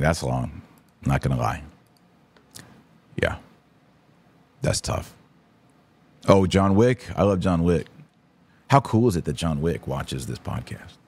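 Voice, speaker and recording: A man's voice: rumbling low, a little mellow. A man hosting a podcast, giving casual, emphatic and opinionated livestream commentary with informal slang. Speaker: podcast host.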